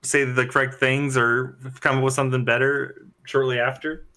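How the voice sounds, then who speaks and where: A man's voice: mid-range voice, level tone, moderate pace, sharp tone. A man talking as a podcast cast member, explaining things casually to the group.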